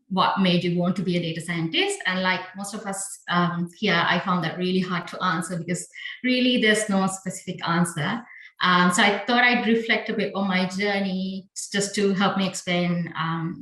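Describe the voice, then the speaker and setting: A woman's voice: on the low side, a bit bright, reverberant, poor audio. A young woman from Sri Lanka, a biostatistician, giving a friendly talk with slides and many fillers. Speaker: conference speaker, biostatistician.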